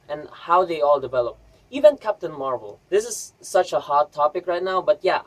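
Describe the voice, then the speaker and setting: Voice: medium pitch, low-quality recording. A vlogger giving an enthusiastic, scripted review in a chatty, emphatic way with rhetorical asides.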